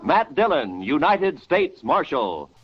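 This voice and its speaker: A man announcing a 1950s radio drama in a measured, portentous, declamatory style. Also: a little high, sing-song, a little hiss or hum. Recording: muffled old radio broadcast recording. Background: loud theme music.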